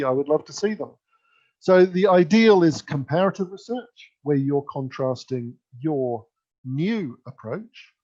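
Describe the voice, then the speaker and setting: A man's voice: a little high, a little mellow. A man giving an instructive, emphatic conference keynote presentation. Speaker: keynote speaker. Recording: dull lo-fi sound.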